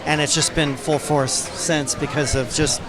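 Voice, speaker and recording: A man's voice: fairly high pitch, level tone, moderate pace. A man co-hosting a podcast, asking friendly, enthusiastic interview questions in casual chat. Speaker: podcast co-host. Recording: crowd noise around.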